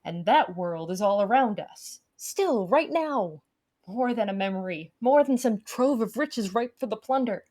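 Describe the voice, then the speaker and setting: A woman's voice: mid-range voice, animated, unhurried, a little mellow. Woman playing an earnest, impassioned orator. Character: earnest orator urging people to see a living world.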